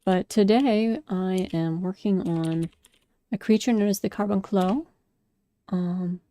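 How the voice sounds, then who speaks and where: A woman's voice: mid-range voice, takes it slowly, mellow. A woman talking casually over her sculpting video, chatty and apologetic, a little congested. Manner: apologetic, chatty, with fillers and self-corrections. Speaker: sculpting vlogger. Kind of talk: casual spoken commentary over a craft video.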